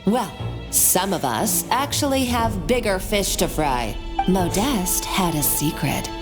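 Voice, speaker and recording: Smug evil video game villain girl, mocking and theatrical, slow gloating delivery, music behind. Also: mid-range voice, expressive. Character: sneering, gloating, ruthless young female villain.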